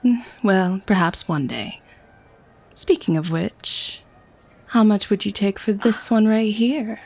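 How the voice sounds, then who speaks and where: A woman's voice: mid-range voice, takes it slowly, darkish tone. A woman playing a haughty, teasing vampire who keeps prisoners, in an ASMR-style audio drama. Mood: dismissive, amused. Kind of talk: ASMR audio roleplay acting.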